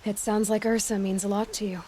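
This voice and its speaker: A woman's voice: medium pitch, level tone, fairly quick, dark tone, slight background noise, natural voice. A woman playing an inquisitive, level-headed young huntress in a video game, speaking plainly and directly. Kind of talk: video game cutscene acting.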